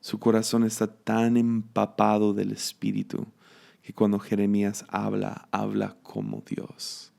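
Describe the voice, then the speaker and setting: A man with a Mexican accent giving a reflective devotional teaching, musing aloud with restarts and soft laughs. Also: fairly low pitch, completely flat delivery, measured pace, gravelly. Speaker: preacher.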